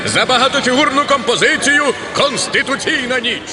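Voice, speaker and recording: A man's voice: very high-pitched, fairly quick. A man playing a formal, ceremonial contest announcer in a cartoon. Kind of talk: animated cartoon acting. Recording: crowd noise and loud music. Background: music and crowd.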